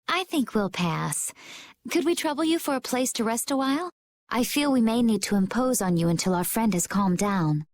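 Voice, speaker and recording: A woman playing a poised, calm, gently diplomatic young woman in a video game. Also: fairly high pitch, normal speed, no room sound, studio quality. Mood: composed. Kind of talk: video game dialogue, composed. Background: background music.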